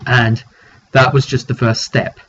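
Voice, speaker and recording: A man's voice: mid-range voice, little pitch movement, a little slow, fairly bright tone. A muffled, noisy recording of a man working as a tabletop game master. He tells the fantasy story informally but with drama, leaning on repetition for emphasis. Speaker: game master recapping a roleplay session. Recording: dull lo-fi recording, clear background noise.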